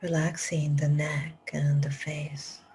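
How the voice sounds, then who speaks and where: A woman meditation teacher leading a live guided meditation, speaking slow, soft instructions that often drop to a whisper. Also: low-pitched, flat delivery, slight background noise. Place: live group session.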